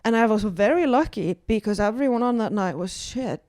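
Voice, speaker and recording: An Icelandic woman chats as a guest comedian, spinning wry, self-deprecating stories full of little fillers. Her voice sits at a middling pitch. The sound is dry and close, with no room in it. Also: expressive, brisk, a little mellow, no echo. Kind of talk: casual podcast chat.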